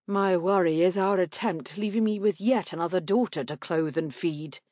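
Lady doing a cold stern dad in a period novel, flat clipped dismissive talk, dry recording. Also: lowish voice, normal speed, dry recording, close to an everyday voice. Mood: cold, scornful. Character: cold, stern, disappointed father, a gentleman.

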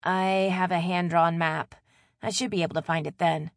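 A woman's voice: on the low side, steady tone, moderate pace, gravelly, dry recording, studio quality. Woman doing a polite reserved city woman. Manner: polite, hesitant.

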